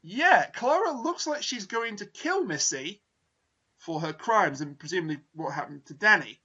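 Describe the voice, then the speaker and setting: A man's voice: high-pitched, big pitch swings, normal speed, sharp tone, husky, lo-fi sound. A man hosting a casual review podcast, wordy and dryly humorous, often correcting himself, sounding tired late at night. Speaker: podcast host.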